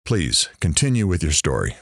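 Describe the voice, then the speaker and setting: A man's voice: very deep voice, big pitch swings, moderate pace, no room sound, studio quality, full character voice. A man playing a brash, crude and nosy radio talk show host in an audio drama, teasing and showy.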